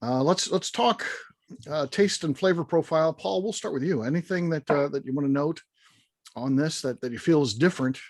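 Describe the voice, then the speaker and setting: A man's voice: a little high, fairly quick, no room sound, clean studio sound. A man hosting a bourbon tasting in a casual chat, steering the group and laughing often.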